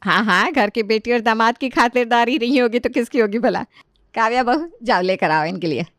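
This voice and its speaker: A woman's voice: a little high, a little fast, fairly bright tone, no echo, studio quality, lightly acted. A woman voicing a doting, hospitable middle-aged mother in a story, effusive and reassuring.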